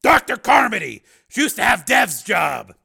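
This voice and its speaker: Intense action hero, played by a male narrator: raised pitch, harsh and forceful, strained emphatic audiobook delivery. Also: sing-song, normal speed, vocal fry, slightly rough, exaggerated voice. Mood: shocked.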